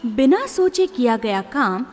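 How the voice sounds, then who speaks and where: A woman storyteller reading scripted children's tales in a deliberate, expressive manner. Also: on the high side. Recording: long reverb, music behind.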